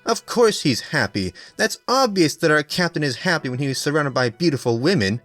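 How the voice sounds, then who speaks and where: Man doing a haughty, scolding high-pitched young woman. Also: very animated, brisk, a bit bright, no echo, soft background music. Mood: bitter. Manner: scolding, imperious. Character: haughty, jealous, sharp-tongued young woman.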